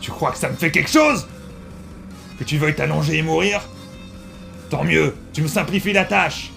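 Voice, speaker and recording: A man voicing a gruff, hot-tempered yet wounded teenage monster girl in dramatic French comic-dub game dialogue, brusque and menacing. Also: on the high side, moderate pace, pushed and bright, soft background music, full character voice. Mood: taunting, angry.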